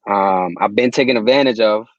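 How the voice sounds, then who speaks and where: A young man from Puerto Rico, a guest in a casual interview, talking in relaxed slang with many restarts. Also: lowish voice, moderate pace, dry recording, dull audio. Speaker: guest, music distributor.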